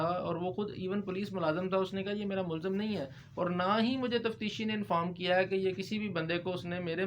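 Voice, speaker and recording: Man, Pakistani accent, high-pitched voice, informal legal explainer, English terms mixed in, faint background noise. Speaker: lawyer presenting a legal explainer.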